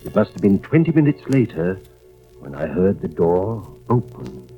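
A muffled vintage radio recording with music carries a weary, anxious husband. He has a lowish voice and tells his suspense story in a measured, dramatic first-person style. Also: sing-song. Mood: tense, brooding. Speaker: narrator and lead actor. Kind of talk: 1940s-50s radio suspense acting.

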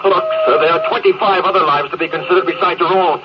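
Casting: male actor as high-voiced junior space officer, earnest and defiant, on a noisy vintage broadcast. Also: fairly even intonation, fast talker, lightly acted. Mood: defiant, pleading. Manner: formal, insistent. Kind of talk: 1950s radio drama acting. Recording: noisy muffled old radio recording.

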